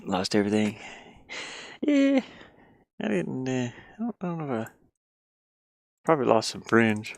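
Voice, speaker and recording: A man's voice: medium pitch, huge pitch swings, measured pace, vocal fry, long pauses, dry recording, clean studio sound. A man chatting casually and loosely while he works, mumbling asides to himself in an informal, rambling way. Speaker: streamer and hobby game developer. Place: inside a van.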